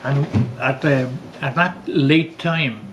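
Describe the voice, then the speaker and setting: An older man with a Welsh accent tells family stories casually, often halting to search for words and repeating phrases. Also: medium pitch, fairly even intonation, background noise. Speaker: father telling family stories. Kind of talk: casual reminiscing storytelling.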